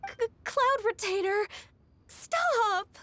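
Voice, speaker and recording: A woman voicing a gentle, dutiful young half-immortal secretary in a video game, polite and earnest in manner. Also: extremely high pitch, animated, extremely slow, no echo, heavily acted. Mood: flustered. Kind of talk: scripted video game voice acting. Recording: music under the voice.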